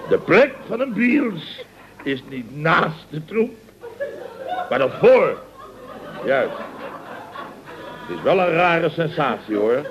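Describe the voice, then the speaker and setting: An actor playing a pompous, blustering older boss in an old radio comedy, exclamatory and theatrical. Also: male voice, on the high side, sing-song, slow pace, pauses often, a crowd in the background. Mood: boastful storytelling. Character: blustering, self-important older boss of a building firm. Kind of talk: 1960s-70s Dutch radio comedy acting. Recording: muffled old radio recording.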